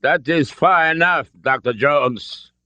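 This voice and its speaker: A man's voice: on the high side, takes it slowly, bright, forceful tone, no echo, voice pushed up. A man voicing a big, brutish Nazi colonel, barking menacing orders in a storybook.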